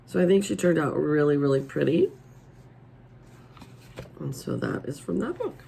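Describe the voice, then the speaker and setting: A raspy-voiced middle-aged woman hosting a casual show-and-tell, chatty and homey, filmed at her table at home. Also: deep voice, very animated, moderate pace, dark tone, a little hiss or hum. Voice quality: raspy today. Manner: chatty and homey, many ums. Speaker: coloring channel host. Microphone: overhead camera over the table.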